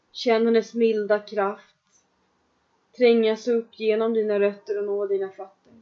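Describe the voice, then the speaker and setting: A woman leading a guided trance meditation, giving calm, slow instructions in the second person with long pauses. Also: medium pitch, lo-fi sound.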